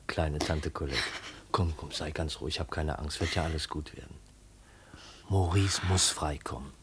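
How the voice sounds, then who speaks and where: An actor playing a gentle, protective, devoted young man in a 1950s German radio drama, speaking soothingly. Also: moderate pace. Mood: soothing, tender. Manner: soothing, tender. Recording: rumbly, faintly noisy old radio recording.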